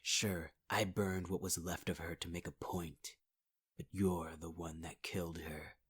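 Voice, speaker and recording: A man's voice: deep voice, little pitch movement, unhurried, husky. A man playing a whispering, menacing villain. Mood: taunting. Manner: taunting, cold, calling the listener sweetheart; half-whispered delivery. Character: menacing male villain, possessive and cruel. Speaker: audio roleplay performer. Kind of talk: ASMR audio roleplay.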